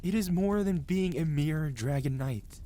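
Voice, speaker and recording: A man's voice: on the high side, measured pace, warm tone, close to an everyday voice. A man voicing an ancient male dragon, proud and scolding yet devoted, with solemn, dramatic phrasing in a fantasy ASMR roleplay. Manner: solemn, archaic, dramatic phrasing with flustered outbursts.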